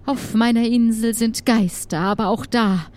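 A woman performs an aloof witch for an improvised radio play. The witch speaks formally and takes measured care over each phrase. The manner is haughty and bargaining. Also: a little high, steady tone, a little mellow, lightly acted. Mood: aggrieved, stately. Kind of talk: improvised audio drama acting.

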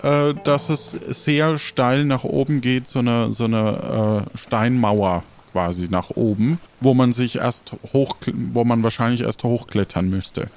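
A man describing scenes and outcomes in an improvised roleplay, halting and matter-of-fact. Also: medium pitch, steady tone. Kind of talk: improvised tabletop roleplay talk.

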